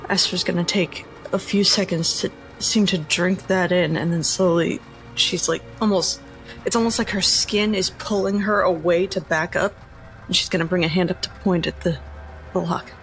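A woman playing a tabletop game, casually narrating her character's actions in halting, descriptive phrases. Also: on the low side, steady tone, soft background music, poor audio. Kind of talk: casual improvised roleplay narration.

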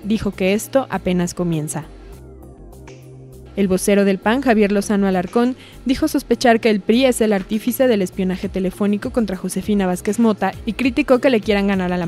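A woman's voice: medium pitch, a little mellow. A woman with a Mexican accent reading scripted news narration.

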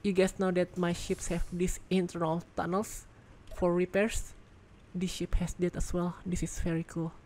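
A woman giving casual gameplay commentary as a reviewer, excitable and thinking out loud, congested and losing her voice. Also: fairly low pitch, normal speed, slight background noise. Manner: excitable, exclaims in surprise, thinks aloud. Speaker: game video reviewer.

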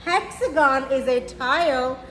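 High-pitched, slow-paced woman storytime reader. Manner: simple, encouraging teacherly address to young children. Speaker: children's storytime reader and teacher. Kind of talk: read-aloud of a picture book for children. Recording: roomy echo with faint background noise.

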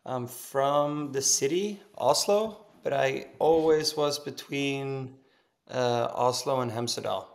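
A man in his thirties with a Norwegian accent, chatting casually as a podcast guest over a video call from his kitchen, relaxed and laughing easily. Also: mid-range voice, slow, vocal fry. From Norway. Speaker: guest, pro snowboarder. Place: home kitchen, indoors.

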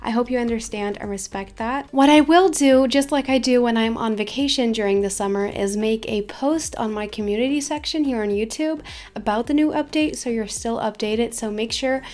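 A woman's voice: fairly high pitch, moderate pace, a little mellow. A teenage girl from Belgium, chatting casually at her PC about an injury over game footage. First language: Dutch. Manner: chatty, repeats herself, frequent "you know". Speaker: gaming YouTuber. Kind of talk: casual story time over gameplay.

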